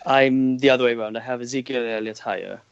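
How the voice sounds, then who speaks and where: A Welsh man talks through his scouting views at a mid pitch, measured and a little hesitant, restarting his sentences. The sound is very dry and dull, with a lo-fi edge. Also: fairly even intonation, vocal fry, clear, resonant tone, no room sound. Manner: measured, hesitant, starts over. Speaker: scouting guest. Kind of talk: casual podcast chat.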